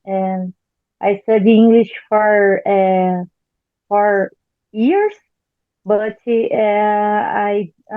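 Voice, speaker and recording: Halting Brazilian woman on tinny audio. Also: mid-range voice, no echo. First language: Portuguese. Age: 40s. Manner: very halting beginner English. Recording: tinny phone-like video call audio.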